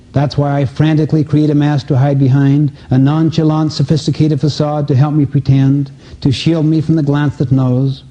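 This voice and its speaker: A man recites as an insecure soul confessing hidden fears in a dramatic poem. The voice is very mellow and husky, earnest and measured. The sound is dull and lo-fi. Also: a little high, close to an everyday voice. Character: insecure, frightened soul confessing behind a mask. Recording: dull, lo-fi audio recording.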